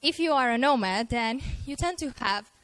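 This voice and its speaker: Lively woman speaker on a handheld mic. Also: on the high side, moderate pace, no echo. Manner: lively, self-mocking, acts out her own inner worries. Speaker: conference speaker. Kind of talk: motivational stage talk. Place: festival stage before a live audience.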